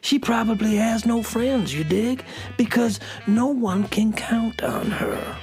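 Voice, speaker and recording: A woman's voice: on the high side, takes it slowly, a little mellow, faint music behind. A woman playing a hip beatnik cat who narrates a children's radio story in laid-back slang. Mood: confiding.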